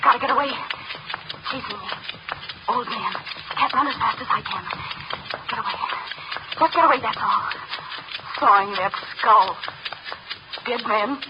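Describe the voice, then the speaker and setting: A woman's voice: fairly high pitch, a little slow, long pauses, close to an everyday voice. An actress playing a terrified, desperate young woman in a 1930s radio horror drama, melodramatic and clipped. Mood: panicked muttering. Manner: melodramatic, clipped, breaking into cries. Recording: noisy, muffled 1930s radio recording.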